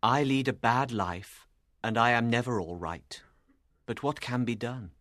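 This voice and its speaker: A woman's voice: a bass voice, expressive, a little slow, no echo, clean studio sound, pitched below the performer's own voice. A woman voicing a dissolute, self-loathing young man, humbly and sadly confessing hopeless love in a storybook reading. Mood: bitter resignation. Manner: sad, humble, earnest.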